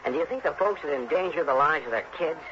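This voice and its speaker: An actor playing a harried, chummy insurance agent in an early 1960s radio drama, earnest, hurried and folksy. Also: male voice, a little high, lively intonation, a bit bright. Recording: noisy, dull vintage radio recording.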